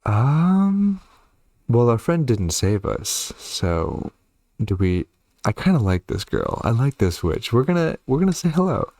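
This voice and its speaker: A man hosting a horror game playthrough, reading and commenting in an expressive, theatrical way. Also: mid-range voice, a little slow, no room sound. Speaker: gaming video host.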